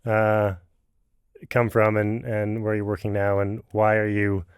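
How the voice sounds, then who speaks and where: A man hosting a podcast interview, talking casually and haltingly, with lots of restarts. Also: fairly low pitch, monotone, smooth, no room sound, studio quality. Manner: halting, many fillers and restarts. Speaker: podcast host.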